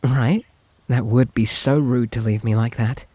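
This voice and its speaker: Man acting a shy British yandere boy, soft mellow voice. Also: mid-range voice, steady tone, unhurried, dry recording, close to an everyday voice. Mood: earnest, reassuring. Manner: hesitant, earnest, politely sweet, rambling. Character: shy, lovestruck, possessive young male yandere kidnapper. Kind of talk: intimate ASMR roleplay acting addressed to the listener.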